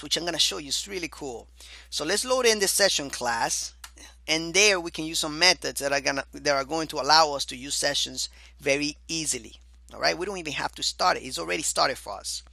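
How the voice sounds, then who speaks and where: Man, coding instructor, bright forceful tone, fairly high voice, hoarse, casual lecture. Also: dry recording. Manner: casual, repeats "all right" and "my dear student". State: hoarse, losing his voice. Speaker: programming course instructor. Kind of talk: screen-recorded coding lecture.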